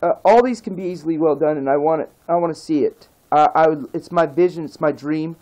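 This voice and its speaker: A man talks straight to the camera in a passionate vlog rant, his voice sitting a bit high. He begs and repeats himself, pushing each point with emphasis and climbing higher when he pleads. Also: fairly quick, a little mellow, poor audio. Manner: pleading and repetitive, emphatic, rises in pitch when begging. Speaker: vlogger. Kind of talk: impassioned casual rant to camera.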